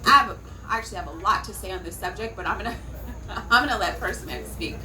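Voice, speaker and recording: A woman from California with an American accent, speaking emphatically and deliberately as a panellist at a public event. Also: mid-range voice, pushed and bright. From California, United States.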